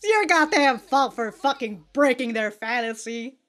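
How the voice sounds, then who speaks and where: Woman, fairly high pitch, casual reaction commentary, slangy, sweary. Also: lively intonation, moderate pace, a bit bright, soft background music. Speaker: host of an anime reaction show.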